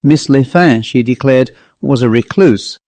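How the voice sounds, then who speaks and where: A man reading a classic ghost story as an audiobook narrator, in a measured, literary manner. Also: medium pitch, a little mellow, no room sound.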